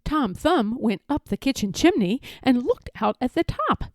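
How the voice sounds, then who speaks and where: A woman reading a children's story aloud in an expressive, measured, emphatic storytelling manner. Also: high-pitched, dry recording, clean studio sound. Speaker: storybook reader.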